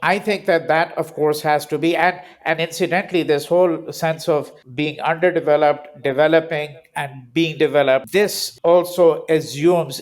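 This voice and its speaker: An older man, a historian explaining ideas in an interview, lecturing with rhetorical questions and frequent "okay?". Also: fairly high pitch. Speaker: historian and professor, guest.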